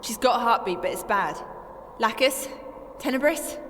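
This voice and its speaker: A woman's voice: a little high, expressive, normal speed, fairly bright tone, a little hiss or hum. An actress playing an earnest, caring female adventurer who takes charge, in an audio drama. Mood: urgent, exasperated. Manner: earnest, urgent, compassionate. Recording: long reverb.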